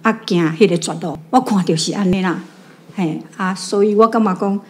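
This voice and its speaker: A woman's voice: mid-range voice, normal speed. A woman with a Taiwanese accent sharing her thoughts in an informal book discussion. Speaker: reading club member sharing thoughts.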